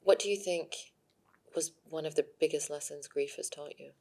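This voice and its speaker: Softly low woman hosting a podcast. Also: moderate pace. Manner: supportive, hesitant, many fillers. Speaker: podcast host. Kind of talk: conversational interview.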